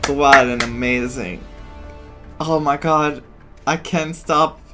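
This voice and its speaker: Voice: mid-range voice, steady tone, slow, fairly bright tone. A game streamer giving casual, gushing and giggly reactions while playing. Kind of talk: casual gameplay reaction commentary. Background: game music.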